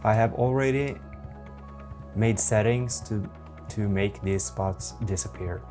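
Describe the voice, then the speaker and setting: A man in his forties with a Norwegian accent, giving a software tutorial in a methodical, halting way. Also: on the low side, music in the background. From Norway. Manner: slow, methodical, halting explanations. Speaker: photography instructor.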